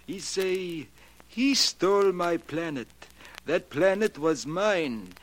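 A man's voice: high voice, expressive, takes it slowly. An actor playing a foreign observatory handyman in a 1940s radio drama, speaking stilted broken English with a foreign accent. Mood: sly, threatening. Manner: stilted, broken English with simple present tense. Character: foreign observatory handyman who believes in astrology. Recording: noisy, clicky 1940s radio recording.

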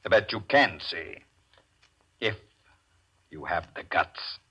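An American man playing a cold, domineering gambler in a 1940s radio drama, with a husky voice and a commanding, mocking, measured delivery. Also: lowish voice, lively intonation, pushed and bright, heavily acted. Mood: challenging. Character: Barney, cold domineering high-stakes gambler, taunting and fearless. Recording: muffled old radio recording.

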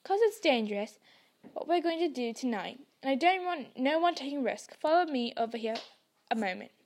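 A woman voicing a huge, gruff, kindly male gamekeeper who talks bluntly. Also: sits high, lively intonation, moderate pace, darkish tone.

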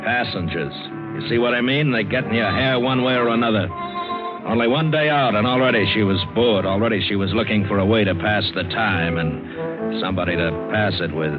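An actor playing a cynical, hard-boiled American ship's mate, narrating and speaking tersely in a vintage radio adventure drama. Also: male voice, lowish voice, brisk, natural voice. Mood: cynical, brisk. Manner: terse, sardonic, tough-guy delivery. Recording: dull old radio broadcast, loud music.

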